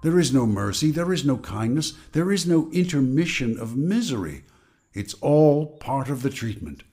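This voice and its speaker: A man playing a grave narrator and relentless inner voice in a radio drama, solemn and deliberate. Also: medium pitch, big pitch swings, a little mellow, husky, faint music behind. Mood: bleak, relentless.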